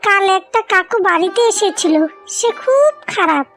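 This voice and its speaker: A woman's voice: very high-pitched, expressive, moderate pace, a bit bright, faint music behind, heavily acted. A woman voicing a small, worried child in a cartoon, speaking simply and anxiously. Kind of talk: cartoon voice acting.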